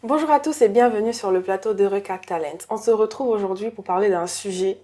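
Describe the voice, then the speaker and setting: A woman's voice: mid-range voice, a little fast, dark tone, faint music behind. A woman hosting a studio interview, chatty and playful, opening with a teasing intro before asking questions. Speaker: interview host. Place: studio set.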